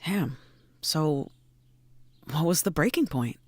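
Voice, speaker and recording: A woman's voice: low voice, lively intonation, slow pace, a little mellow, no room sound, heavily acted. A woman playing a blunt, loyal, streetwise best friend in an audio drama, with a slangy, probing way of talking. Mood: curious, surprised. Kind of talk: naturalistic audio drama acting.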